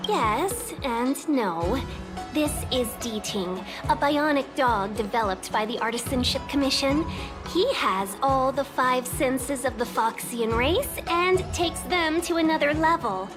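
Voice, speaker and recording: A woman playing a poised, coy young guide in a video game, measured and teasing as she explains things. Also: high voice, lively intonation, music in the background. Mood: assured, explanatory. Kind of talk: video game character dialogue.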